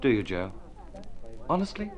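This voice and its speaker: A man's voice: medium pitch, sing-song, moderate pace, darkish tone, lightly acted. An actor playing a wry, practical, struggling male writer with a teasing, sardonic manner in an old radio drama. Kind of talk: vintage radio drama acting.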